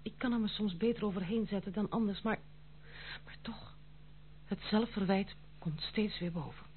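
An actress playing a dutiful, stern but kind granddaughter in an old Dutch radio play, speaking formally and gravely. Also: female voice, medium pitch, normal speed, close to an everyday voice. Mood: remorseful. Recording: muffled phone-like old radio recording, faint hum.

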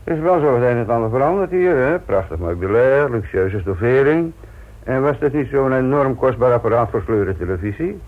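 A man's voice: medium pitch, animated, brisk, close to an everyday voice. A man playing a persistent police inspector in a radio play, politely apologetic and probing, with hesitant phrasing. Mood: probing, insinuating. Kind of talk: Dutch radio play acting. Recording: tinny narrow-band old radio recording, hiss.

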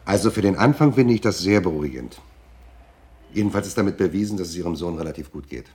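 An actor playing a shabby, pedantic private detective in a radio drama, dry and officious, evasive and grumbling. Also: male voice, deep voice, expressive, quick pace, a little mellow. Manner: dry, officious, stilted phrasing. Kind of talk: German radio drama acting.

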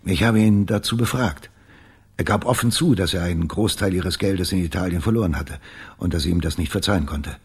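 An actor playing an evasive, self-justifying agency boss in a radio crime drama, measured and defensive. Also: male voice, sits low, slightly rough, exaggerated voice. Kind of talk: German radio play acting.

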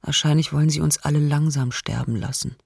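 A woman playing a bitter, frightened diarist under occupation, with a husky, breathy voice, in a radio docudrama. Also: low-pitched, fairly even intonation, a little mellow, no room sound, clean studio sound, heavily acted. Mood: despairing. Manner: slow, halting, sometimes near whisper. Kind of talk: intimate radio docudrama monologue.

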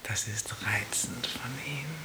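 Dreamy female cashier, slow and breathy, blissful temper, heavy ambient noise. Radio drama. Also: rumbling low. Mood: gentle, languid. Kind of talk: slow radio play monologue.

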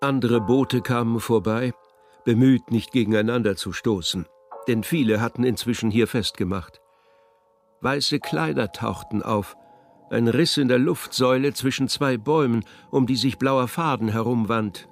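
A man's voice: mid-range voice, normal speed, faint music behind. A man narrating a literary radio drama as scripted prose.

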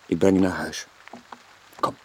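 An actor playing a weary, guilt-ridden middle-aged sailor with a Flemish accent, in a brooding radio drama style with a husky, breathy voice. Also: male voice, low-pitched, little pitch movement, darkish tone, a little hiss or hum, poor audio. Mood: uneasy, reluctant. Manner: brooding, halting confession. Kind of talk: 1980s Dutch-language radio drama acting.

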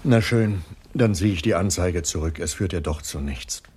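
An actor playing a wounded, resigned gentleman with a grievance in a German radio crime comedy. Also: low-pitched, moderate pace, slightly rough.

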